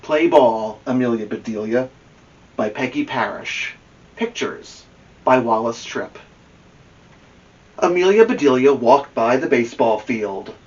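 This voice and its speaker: A man hosting a children's story podcast, reading a picture book aloud in an expressive, animated way. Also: medium pitch, a little slow, long pauses, dull audio, faint music behind. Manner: expressive, addresses a young co-host with questions. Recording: slightly distant from the microphone.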